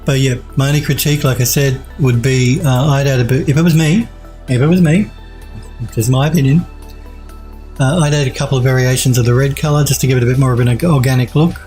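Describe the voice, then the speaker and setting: Man, 40s, stuffed-up nasal head cold, warm-toned enthusiastic streamer, faint music behind. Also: mid-range voice, fairly even intonation, fast talker. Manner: enthusiastic, repeats praise, restarts. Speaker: 3D art streamer reviewing viewer work. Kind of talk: casual live-stream critique. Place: home streaming room, indoors.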